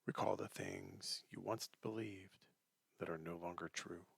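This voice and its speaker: A man leading a guided meditation in a soft, breathy, gentle voice, unhurried and leaving long pauses between short phrases. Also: deep voice, very animated, no echo. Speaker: meditation teacher.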